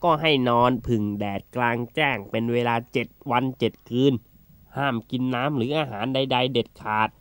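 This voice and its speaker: A man's voice: medium pitch, normal speed, a bit bright, dry recording, close to an everyday voice. A man voicing a brave, wily turtle with a confident, teasing manner in a children's fable. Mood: sly, persuasive. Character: brave, wily, unflappable turtle who outsmarts bullies. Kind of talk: children's animal fable character acting.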